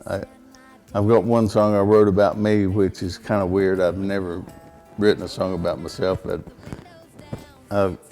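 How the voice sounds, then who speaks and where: Low-voiced man, plainspoken. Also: little pitch movement, moderate pace, long pauses, dark tone, soft background music. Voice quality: baritone voice. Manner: plainspoken, rambling, frequent fillers and restarts. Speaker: songwriter guest. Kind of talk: casual conversational interview.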